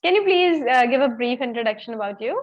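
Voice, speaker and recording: Woman moderator, slightly high voice, formal and polite, lo-fi call sound. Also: animated, fast. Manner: polite, formal, addresses guests as ma'am. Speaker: conference moderator. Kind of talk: moderating an online conference. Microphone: video call.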